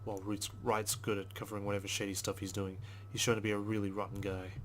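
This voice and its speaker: A man reading visual novel text aloud as a let's player, in a measured, understated storytelling manner with brief asides. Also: on the low side, little pitch movement, fairly bright tone, a little hiss or hum. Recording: music behind the voice. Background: game music.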